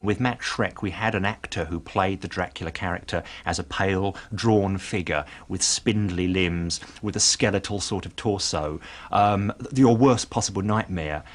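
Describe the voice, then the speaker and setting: A man's voice: low voice, normal speed. A man, a film historian, speaking in an interview in an enthusiastic, descriptive way. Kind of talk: interview commentary.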